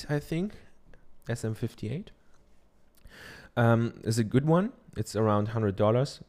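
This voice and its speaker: German-accented man, dark smooth voice. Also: fairly low pitch. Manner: loose and chatty, many ums and tag questions. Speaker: podcaster. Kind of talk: casual how-to talk. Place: treated home office. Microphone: dynamic studio microphone.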